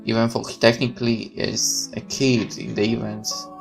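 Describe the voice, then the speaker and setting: A man's voice: medium pitch, flat delivery, moderate pace, creaky, over music, rough recording. A man reading a horror visual novel aloud as a let's player, with casual commentary of his own.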